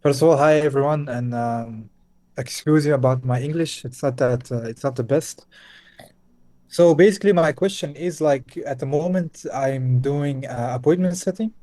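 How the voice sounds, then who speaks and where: A man's voice: mid-range voice, moderate pace, dark tone. A man from Belgium with a Belgian Dutch accent, a Dutch speaker, asking a question on a video call, hesitant with many uhs and restarts. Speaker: caller on a group call.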